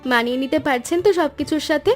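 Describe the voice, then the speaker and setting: A woman's voice: sits high, quick pace, faint music behind, lightly acted. A woman voicing a caring, hardworking young granddaughter who serves at the family shop in a cartoon, polite and earnest. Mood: polite, friendly. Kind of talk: cartoon story dialogue.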